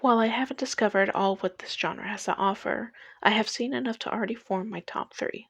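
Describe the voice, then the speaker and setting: Young female video essayist, mid-pitched with noticeable vocal fry, reading a personal script in a dry space. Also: fairly quick, fairly bright tone, no echo.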